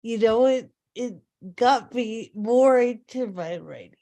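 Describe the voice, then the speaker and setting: A woman's voice: a little high, animated, slow, warm tone. A woman taking part in a table read, speaking in casual chat.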